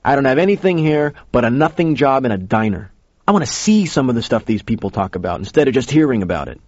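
A man's voice: medium pitch, very animated, fast talker, darkish tone, pitched above the performer's own voice. Man as a restless young counterman in a story, cocky and eager, roomy dull recording. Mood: cocky, fed up. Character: cocky restless young male diner worker.

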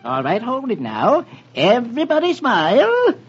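A man playing a mild, hesitant, fussy photographer in an old radio comic-strip show, with a husky voice. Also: very high-pitched, wildly swooping pitch, unhurried, over loud music, heavily acted. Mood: flustered. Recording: dull old radio recording.

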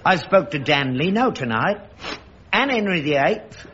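An actor playing an earnest, credulous elderly London father in a sitcom, insistent and quick to defend himself. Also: on the high side, big pitch swings, fairly bright tone, a little hiss or hum. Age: older. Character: credulous, indignant elderly father, rag-and-bone household. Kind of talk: studio sitcom acting. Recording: dull lo-fi archive recording.

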